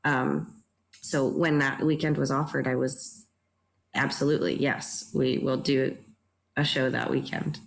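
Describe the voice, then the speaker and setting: A woman's voice: rumbling low, little pitch movement, a little slow, creaky. A woman speaking earnestly in a panel discussion, reflective and emphatic, often checking in with her listeners. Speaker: theatre director in a panel talk.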